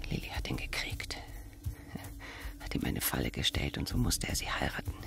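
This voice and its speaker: An actress playing a bitter, vengeful, murderous aunt in a German radio crime drama. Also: female voice, gravelly, exaggerated voice. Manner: hissing whispers rising to shrill outbursts.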